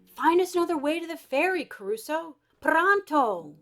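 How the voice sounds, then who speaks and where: A woman voicing an excitable, bossy, easily exasperated female adventurer from a children's storybook, with dramatic emphasis. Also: very high voice, normal speed, music in the background, voice pushed up.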